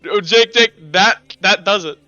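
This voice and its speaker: High-pitched, halting male game master. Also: highs cut off, over loud music. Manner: halting, repeats phrases. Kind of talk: improvised tabletop game narration.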